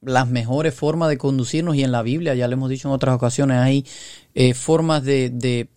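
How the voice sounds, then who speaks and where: A man's voice: medium pitch, fairly even intonation, moderate pace, a little mellow, dry recording. Male co-host, earnest and teaching, recorded dry and close. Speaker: podcast co-host.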